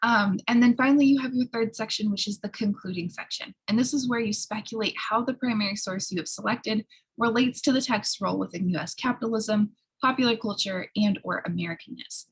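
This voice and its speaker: A woman who sounds a little hoarse, giving an explanatory college writing lecture in an instructional way, often checking in with short tag questions. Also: a little high, a little fast, rough recording. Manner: instructional, frequent checking tags like "right?". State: slightly hoarse.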